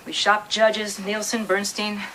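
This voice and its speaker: A woman playing a skeptical, sharp-tongued police captain in a crime drama, pointed and sarcastic. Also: medium pitch, level tone, measured pace, noisy background, close to an everyday voice. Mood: sarcastic, challenging. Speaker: actor in a detective drama.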